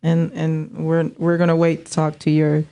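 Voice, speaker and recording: Woman, talk show host, gravelly voice, casual joking chat, lots of ums, indoor table set. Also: sits low, completely flat delivery, normal speed, warm tone. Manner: loose, joking and laughing, many fillers.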